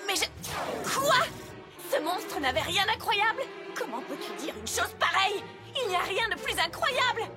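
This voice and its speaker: Earnest young unicorn pony, high, expressive, a touch formal. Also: female voice, normal speed. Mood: indignant. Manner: earnest, articulate, a bit formal. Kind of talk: French cartoon dub. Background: cartoon music.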